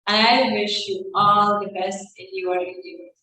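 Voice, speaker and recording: A woman's voice: mid-range voice, flat delivery, measured pace, pushed and bright, slight background noise. Woman speaking from far in an echoey room. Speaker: program graduate. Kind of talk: reading a prepared speech. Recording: echoey room, distant mic.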